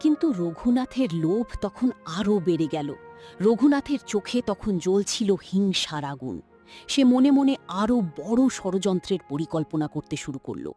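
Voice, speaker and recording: A woman narrator telling a scripted cartoon story in a dramatic, expressive way. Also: medium pitch, normal speed, faint music behind.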